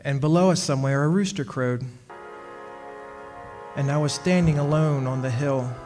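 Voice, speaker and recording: An actor playing a wry, centuries-old henpecked husband of a witch in a radio play, telling his story in measured dramatic style. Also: fairly high pitch, close to an everyday voice. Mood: wry, reminiscing. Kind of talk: dramatic first-person radio play narration. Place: stage performance in a community club hall. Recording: echoey hall, music behind.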